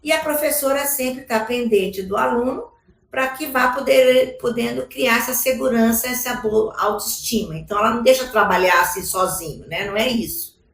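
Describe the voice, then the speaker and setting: A woman's voice: fairly high pitch, moderate pace, roomy sound, low-quality recording. A woman with a Brazilian Portuguese accent giving an explanatory conference talk about slides, often adding tag questions. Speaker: education speaker. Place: large conference hall.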